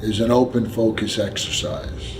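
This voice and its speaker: A man narrating a guided meditation, giving gentle, unhurried instructions with long pauses. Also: lowish voice, expressive, noisy background. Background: background music.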